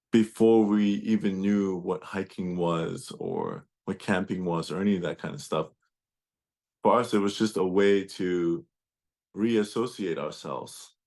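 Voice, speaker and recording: A man whose first language is German speaks over a video call in a very low, deep voice. He talks slowly and thoughtfully, pausing and restarting as he recalls his past. The delivery is reflective and unhurried. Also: a little mellow. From Turks and Caicos Islands and Germany. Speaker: guest speaker. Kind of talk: personal storytelling.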